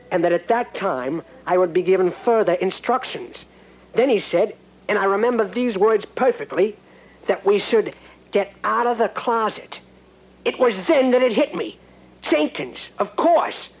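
An earnest, naive cop telling his story in a jittery, melodramatic way, with a voice that sits somewhat high. It sounds dull and lo-fi, with clear music running underneath. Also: a little mellow. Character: earnest, naive small-town male cop narrator. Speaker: narrator. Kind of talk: comic hard-boiled narration.

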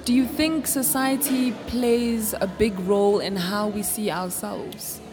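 A woman interviewing a young guest in casual chat, full of affirming compliments and quick follow-up questions. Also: medium pitch, steady tone. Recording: music playing behind.

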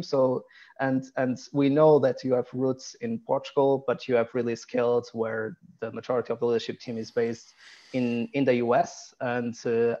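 A man hosting a live video podcast over a video call, introducing his guest with a halting, hesitant delivery. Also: mid-range voice, darkish tone, lo-fi sound. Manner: halting, many ums and restarts. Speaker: podcast host.

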